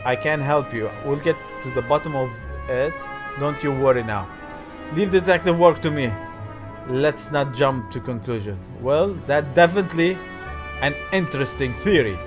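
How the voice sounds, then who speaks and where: Guy doing a lets play, kinda high voice, reads story text slow n dramatic w little side comments, game music behind. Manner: halting read-aloud with theatrical emphasis and offhand asides. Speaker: gaming video host. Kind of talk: let's play, reading visual novel text aloud with side comments.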